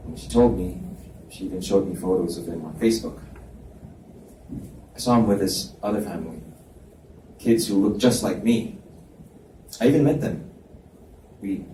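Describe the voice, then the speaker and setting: A man reading the part of a gentle young man who talks softly while opening up, recorded at a live reading in a library. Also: slight background noise, lightly acted. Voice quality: soft, low-key delivery. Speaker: reader voicing male lead. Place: library event room. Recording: echoey library room, mic at a distance.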